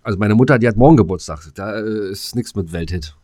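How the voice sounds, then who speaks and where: A man's voice: fairly low pitch, fast talker, warm tone, dry recording. A man co-hosting a podcast, chatting casually in a colloquial, jokey way with some crude slang. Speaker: podcast co-host.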